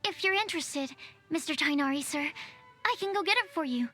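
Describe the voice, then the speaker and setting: A woman's voice: high-pitched, lively intonation, brisk, sharp tone, faint music behind. A woman voicing a shy, polite young female alchemist's assistant in a game cutscene, timid and formal.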